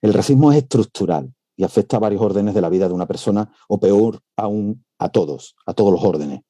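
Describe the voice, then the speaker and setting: A male professor giving an academic lecture over a video call. He speaks in a measured way, with frequent "eh" hesitations. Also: fairly low pitch, lively intonation, dark tone, no room sound. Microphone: computer mic on a video call.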